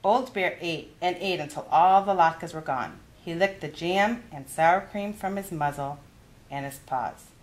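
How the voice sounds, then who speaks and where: A woman narrating a children's story in an expressive read-aloud style. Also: fairly low pitch, unhurried, poor audio. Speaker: youth adviser reading a children's story.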